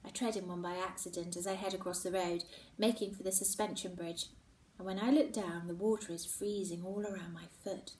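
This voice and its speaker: Expressive woman reading a story. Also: lowish voice, a little fast, distant mic, a little hiss or hum. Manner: expressive, slips into hushed whispers. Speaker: children's book reader. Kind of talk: first-person storybook narration. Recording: roomy echo, set back from mic.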